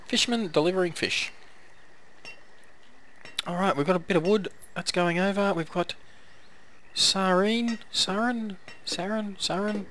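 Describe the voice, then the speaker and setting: Hoarse man narrating his game. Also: high voice, a bit bright, a little hiss or hum. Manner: chatty, talks to the game's villagers. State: hoarse, coughing, losing his voice. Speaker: gameplay commentator. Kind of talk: casual let's-play commentary.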